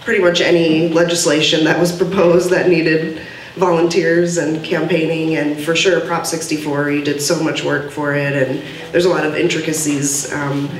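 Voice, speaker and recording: A woman's voice: sits low, flat delivery, moderate pace. A woman moderating a panel discussion at a podium, speaking earnestly with many fillers and taking audience questions. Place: gallery event room, indoors. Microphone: podium mic from a far camera. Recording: roomy, distant, faint hiss.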